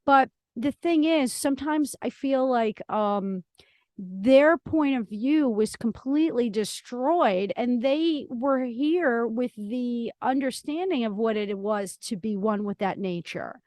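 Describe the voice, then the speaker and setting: A woman's voice: fairly high pitch, expressive, slow, darkish tone, dry recording. A woman hosting a podcast, talking casually in an effusive, emphatic way. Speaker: podcast host. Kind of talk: casual conversational interview.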